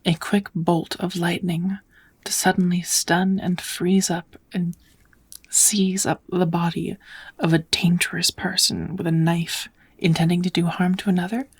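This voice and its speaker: A woman voicing a kind, patient witch in a soft, often whispered ASMR roleplay, teaching magic in a gentle, measured, slightly formal way. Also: fairly low pitch, little pitch movement, husky. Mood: calm, patient.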